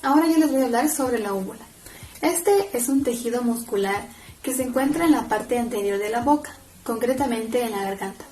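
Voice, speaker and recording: Young woman presenting, slightly high, echoey. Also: a little fast, dark tone. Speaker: student presenter. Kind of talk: explanatory class presentation. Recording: echoey room sound.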